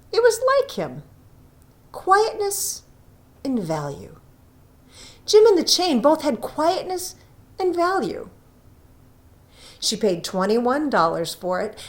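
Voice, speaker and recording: A woman reading a story aloud as scripted narration, with dramatic emphasis and drawn-out phrases. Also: medium pitch. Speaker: audiobook reader.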